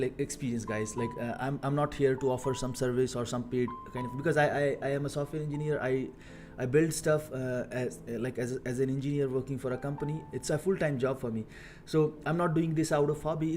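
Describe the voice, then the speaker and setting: A man in his thirties from India with an Indian accent, talking casually on a coding live stream, halting with many fillers and repeated words. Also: mid-range voice, darkish tone. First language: Hindi. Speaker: live-streaming software engineer.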